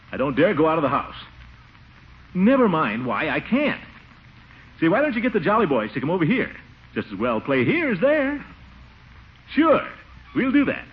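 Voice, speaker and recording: An actor playing a pompous, easily flustered, suspicious middle-aged city commissioner in a 1940s radio comedy, exclaiming and blustering. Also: male voice, on the high side. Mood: eager. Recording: muffled, hissy 1940s radio recording.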